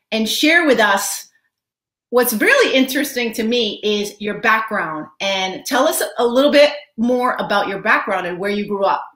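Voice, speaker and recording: A woman's voice: a little high, fairly bright tone. Female talk-show host, middle-aged, effusive, on a webcam in an echoey room. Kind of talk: interview host introducing a guest. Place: home study with bookshelves. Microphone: video call webcam.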